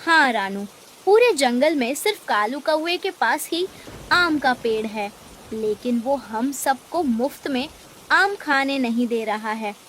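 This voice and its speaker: A worried cartoon bird, voiced by a woman, speaks high and earnestly, complaining of hunger as in a children's moral tale. A little noise sits behind the voice. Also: animated, slow, a bit bright, heavily acted. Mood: pleading. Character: worried, hungry forest bird seeking help. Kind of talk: animated children's moral story dialogue.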